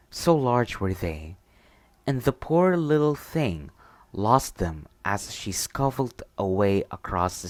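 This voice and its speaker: A man speaking softly and intimately in a soothing ASMR bedtime style, sometimes dropping into a whisper. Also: lowish voice, no echo. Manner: soft, intimate, soothing delivery, partly whispered. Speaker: ASMR storyteller. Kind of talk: ASMR bedtime roleplay and storybook reading.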